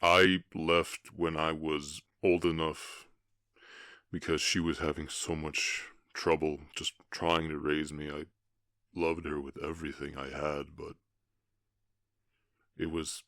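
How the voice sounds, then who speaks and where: A man voicing a gruff but tender half-orc cowboy in an ASMR roleplay, ashamed and protective, speaking haltingly with feeling and often dropping to a murmur. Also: extremely low pitch, level tone, fairly bright tone, no echo, studio quality, exaggerated voice. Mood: pained, confessional.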